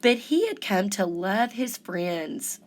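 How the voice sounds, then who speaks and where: A woman reading a picture book aloud in expressive, deliberate storytelling style. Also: mid-range voice. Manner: deliberate, animated storytelling emphasis. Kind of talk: expressive children's story narration.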